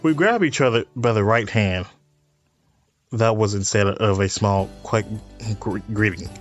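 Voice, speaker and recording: A man's voice: lowish voice, measured pace. A man narrates a visual novel in a casual let's play style. He stumbles a little as he reads and drops in amused asides, with music playing behind him. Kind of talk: casual read-aloud commentary of a visual novel.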